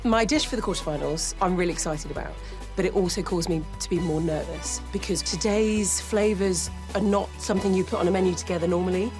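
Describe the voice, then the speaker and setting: A woman competing in a cooking contest, chatty and lively as she explains her dish. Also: lowish voice, a little fast. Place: TV studio kitchen. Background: background music.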